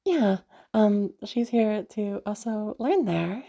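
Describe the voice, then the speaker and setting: A woman's voice: mid-range voice, animated, slow, warm tone, pitched above the performer's own voice. A woman voicing a sweet, bubbly, nervous young woman chatting in a roleplay audio drama, hesitant, giggly and stammering. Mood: hopeful, hesitant. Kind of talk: roleplay audio drama, one side of a phone chat.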